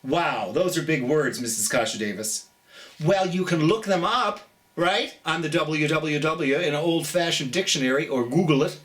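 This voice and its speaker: A middle-aged children's storytime host chatting and reading aloud, gently and theatrically, speaking straight to young listeners with drawn-out emphasis. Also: mid-range voice.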